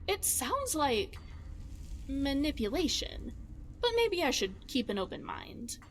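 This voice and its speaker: Fantasy game companion , female actor: young, high-pitched, very expressive, wordy, wry, excitable. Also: slow pace, heavily acted. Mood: suspicious. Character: excitable, quirky young female adventuring companion, fretful and chatty.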